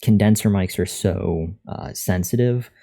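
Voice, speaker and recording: Deep, mellow, unhurried man, monotone delivery. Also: vocal fry. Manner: thinking aloud, reading out and answering chat. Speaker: live streamer learning to code. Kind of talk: casual live-stream chat while coding. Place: untreated room at a computer. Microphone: USB condenser microphone at a desk.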